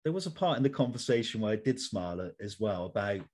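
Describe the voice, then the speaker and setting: A middle-aged man hosting a conversational interview in a measured, polite, slightly formal manner. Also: fairly low pitch, lively intonation, darkish tone. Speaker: interview host.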